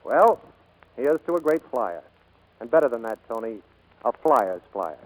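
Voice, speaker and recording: An actor playing an earnest, steady American aircraft builder in a 1940s radio drama, heard on a vintage broadcast recording. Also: male voice, medium pitch, a little slow, low-quality recording. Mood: tender, earnest.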